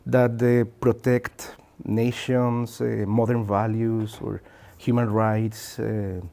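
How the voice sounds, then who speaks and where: A man asking an academic interview question in a careful, deliberate way. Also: fairly low pitch, level tone, vocal fry, dark tone.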